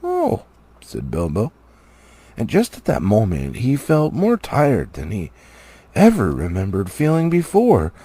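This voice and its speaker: A man reading a storybook aloud in an expressive narrative style, as an amateur audiobook reader. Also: on the low side, measured pace, husky.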